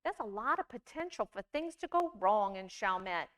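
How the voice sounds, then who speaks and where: A woman giving a scripted storytelling performance, with deliberate, measured phrasing and dramatic pauses. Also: medium pitch, very animated, fairly bright tone. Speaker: monologue performer.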